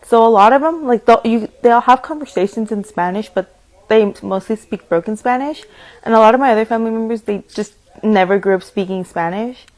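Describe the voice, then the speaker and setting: A woman's voice: fairly high pitch, brisk, a little mellow, poor audio. A young woman giving personal testimony as a student interviewee, speaking plainly and steadily with a few ums.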